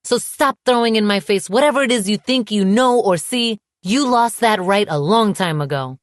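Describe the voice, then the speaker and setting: A woman's voice: lowish voice, lively intonation, moderate pace, dry recording, lightly acted. A wounded, indignant young woman from an audiobook romance, acted by a woman narrator. She speaks with emphatic, charged emotion. The recording is close and dry. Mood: angry, incredulous.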